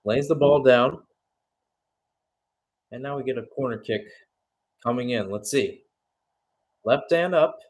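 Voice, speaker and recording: A man's voice: mid-range voice, measured pace, pauses often. A man giving radio-style live play-by-play football commentary as the match commentator.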